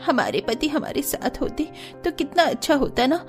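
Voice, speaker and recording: A woman voicing a worried young daughter-in-law in a cartoon drama, earnest and plaintive, with a soft voice. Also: high-pitched, sing-song, normal speed, faint music behind.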